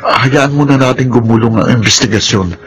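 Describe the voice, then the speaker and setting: An actor playing a loyal, concerned cousin in a Filipino radio drama, hesitant and placating, with a Filipino accent. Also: male voice, mid-range voice, moderate pace, a bit bright, lightly acted.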